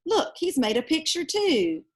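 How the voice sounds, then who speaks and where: A woman's voice: high-pitched, very animated, measured pace, pitched above the performer's own voice. A woman reading the part of a kindly, welcoming old man, a painter and gardener, in a children's storybook. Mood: amused.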